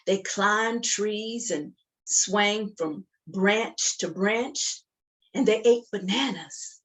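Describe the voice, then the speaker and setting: Slow-paced woman storyteller for children. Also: medium pitch. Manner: expressive, deliberate, with acted sound effects and call-and-response. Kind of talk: interactive read-aloud storytelling for young children.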